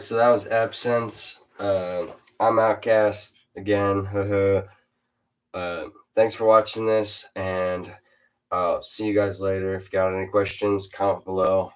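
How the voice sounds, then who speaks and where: Teen dude with a real deep low voice, chatting loose with lots of uh's and restarts. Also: male voice, level tone, measured pace. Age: teens. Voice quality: super deep voice. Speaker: host interviewing a fellow rapper. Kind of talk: casual chat.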